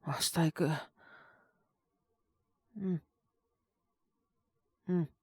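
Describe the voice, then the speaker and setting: A woman's voice: lowish voice, steady tone, drawn-out words, breathy edge, no room sound, studio quality, exaggerated voice. A woman voicing a sick, tired young male teacher in an audio drama, brusque and sighing, with a weary mutter. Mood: reluctantly caring. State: feverish, coughing. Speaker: voice actor. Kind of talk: Japanese situation-voice audio drama.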